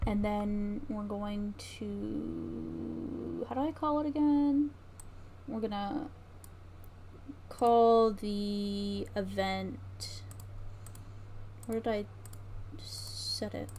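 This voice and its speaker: A woman with a medium-pitched voice thinks aloud on a casual livestream. She talks slowly and haltingly, often trailing off mid-thought. There is faint noise behind her, with keyboard typing and clicking. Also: expressive, warm tone. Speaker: streamer. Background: computer keyboard typing and mouse clicks.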